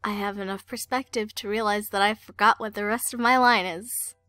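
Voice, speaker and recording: A woman's voice: mid-range voice, steady tone, a little fast, over music. A young woman amateur voice actor rambling casually between takes, saying "like" constantly.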